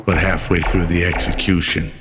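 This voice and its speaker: A man narrating a scripted radio drama in a measured, solemn storytelling manner. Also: low voice, flat delivery, loud music behind the voice.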